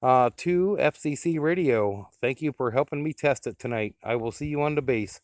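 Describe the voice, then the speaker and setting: A man's voice: medium pitch, moderate pace, dry recording, studio quality. A man reviewing and testing a radio in a plain-spoken, explanatory way, as a hands-on demonstration.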